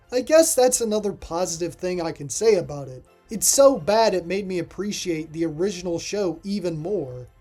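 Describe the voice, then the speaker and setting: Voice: medium pitch, dark tone. A reviewer narrating a scripted video review in a voice-over.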